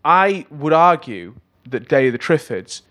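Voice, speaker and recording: A man chatting casually and comically as an interview guest, with a rambling, animated, self-mocking manner. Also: a little high, measured pace. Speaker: musical comedian, interview guest.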